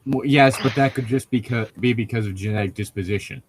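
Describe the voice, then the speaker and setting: A man's voice: medium pitch, fairly quick, breathy edge. A man chatting casually as a co-host, explaining things in a rambling, nitpicky way. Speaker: podcast co-host reading and riffing on a novel. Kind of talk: casual banter and commentary.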